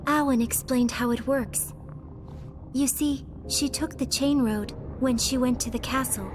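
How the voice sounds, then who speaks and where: A woman playing a solemn, composed young resistance member in a video game, explaining in a measured way. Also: high voice, steady tone. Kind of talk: animated video game voice acting. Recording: music behind the voice.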